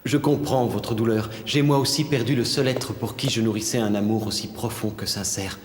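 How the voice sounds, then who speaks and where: A man's voice: lowish voice, steady tone, moderate pace, roomy sound, long reverb tail, a little hiss or hum, natural voice. A man playing a lovestruck young prince in a dubbed cartoon fairy tale, speaking formally and deferentially, with ardent sincerity. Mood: solemn.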